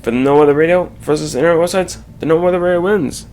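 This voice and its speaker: A man reading a scripted slide presentation aloud, haltingly, correcting himself and adding asides. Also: on the high side, a little mellow.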